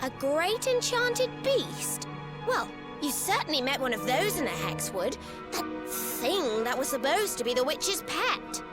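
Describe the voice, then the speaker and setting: A woman's voice: sits high, very animated, a little slow, loud music behind the voice. An actress voicing a blunt, feisty young female mage in a video game, with a no-nonsense, colloquial manner. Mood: skeptical, defensive.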